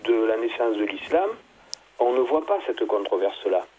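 Hesitant low-voiced man explaining slowly. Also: lively intonation, darkish tone, breathy edge, poor audio. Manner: halting, many fillers and restarts. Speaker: theologian guest.

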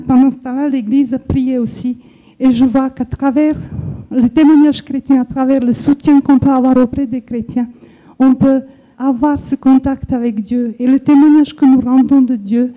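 Earnest older woman, very bright high voice. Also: low-quality recording. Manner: slow, earnest, reflective with hesitations. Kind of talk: personal faith testimony.

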